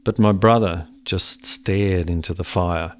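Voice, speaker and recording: An actor playing a brooding settler in a radio play, recalling a forest childhood in measured, poetic monologue. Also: male voice, low-pitched, steady tone.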